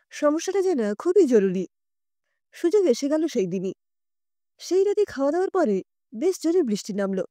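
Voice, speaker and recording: A woman narrating a scripted story in an expressive storytelling manner. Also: high-pitched, no room sound, studio quality.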